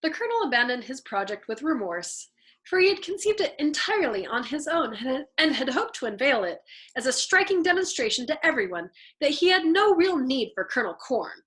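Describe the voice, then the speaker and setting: Female narrator for fiction read-aloud: expressive, very lively intonation, bright forceful tone. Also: high-pitched, fairly quick. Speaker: storyteller, show host. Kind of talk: expressive audiobook-style narration.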